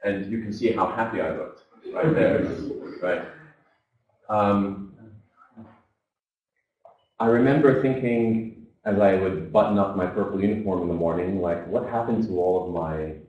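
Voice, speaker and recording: A man's voice: low voice, a little slow, pauses often, darkish tone, dull audio. A man in his thirties giving a motivational seminar talk, telling his life story and acting out the voices of the people in it. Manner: storytelling, acts out quoted voices. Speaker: seminar speaker. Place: seminar hall. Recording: echoey room, from far off.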